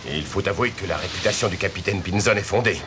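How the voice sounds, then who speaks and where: An actor voicing a loyal, cautious male ship's officer with a gravelly voice, speaking earnestly in an animated cartoon. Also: low-pitched, fast talker, over loud music, lightly acted. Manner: earnest, warning.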